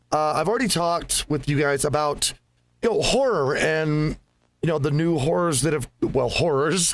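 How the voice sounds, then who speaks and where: A male gaming host with a somewhat high-pitched voice talks through a playthrough. His delivery is casual and conversational, rambling along as he muses aloud. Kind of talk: casual game playthrough commentary.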